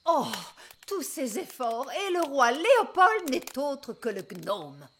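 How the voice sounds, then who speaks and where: Motherly elf for animation: high, lively intonation, house-proud and exclamatory. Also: slow pace, full character voice. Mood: exasperated. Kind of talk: animated children's cartoon dub.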